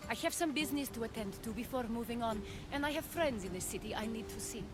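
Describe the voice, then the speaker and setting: Woman acting a composed, measured female advisor. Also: fairly high pitch, over music, close to an everyday voice. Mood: easy, wry. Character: composed, worldly adult female advisor in ancient Greece. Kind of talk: video game cutscene acting.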